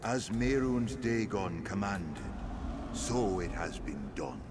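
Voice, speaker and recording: A menacing, commanding emperor of a dark fantasy game. His very deep voice moves slowly with big swings in pitch, over loud ambience. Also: lively intonation, heavy background noise, full character voice. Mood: grave, commanding. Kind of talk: fantasy video game voice acting.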